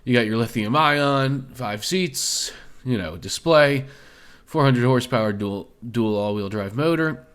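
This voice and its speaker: A man with a slightly hoarse voice from being sick, hosting a stock video in a loose, conversational way with many fillers. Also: medium pitch, expressive, measured pace, fairly bright tone. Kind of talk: casual screen-share commentary.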